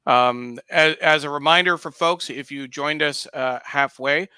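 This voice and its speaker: A man guest-hosting an online discussion show in a conversational interviewing style, with somewhat halting phrasing. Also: a little high, bright, forceful tone, clear, resonant tone, no room sound.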